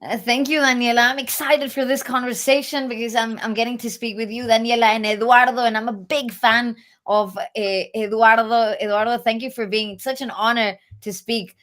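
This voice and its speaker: A woman's voice: fairly high pitch, fairly even intonation, moderate pace, fairly bright tone. A woman interviewing a guest, asking her questions eagerly and with enthusiasm. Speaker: interviewer, council director.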